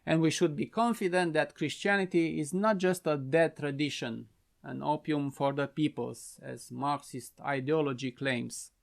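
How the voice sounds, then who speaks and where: Romanian-accented man, gravelly earnest delivery. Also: fairly high pitch. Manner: measured, earnest explanatory delivery. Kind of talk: prepared talk to camera. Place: simple home-office studio.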